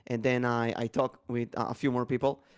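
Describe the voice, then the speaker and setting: A man hosting a casual podcast, talking in a chatty, rambling way with a slightly tired, raspy voice. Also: mid-range voice, level tone, a little fast, no room sound, studio quality. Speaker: podcast host.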